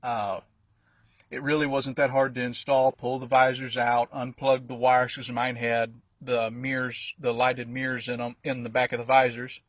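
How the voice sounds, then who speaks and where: Even-toned man, dull lo-fi recording. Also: medium pitch, normal speed. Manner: folksy, informal, rambling. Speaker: vehicle DIY video creator. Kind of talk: casual handheld walkthrough. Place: in and around a pickup truck cab. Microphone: handheld phone or camera. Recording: dull lo-fi audio, roomy echo.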